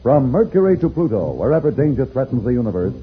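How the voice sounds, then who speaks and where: A man's voice: medium pitch, big pitch swings, quick pace. A man narrating an old-time radio serial as announcer, in a theatrical, declamatory scripted style. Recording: narrow-band vintage radio, loud music behind. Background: loud music.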